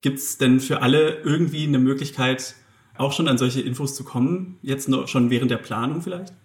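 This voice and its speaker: A man from Berlin hosting an interview, asking his guest measured, even questions about planning. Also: mid-range voice. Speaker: podcast host. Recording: roomy echo.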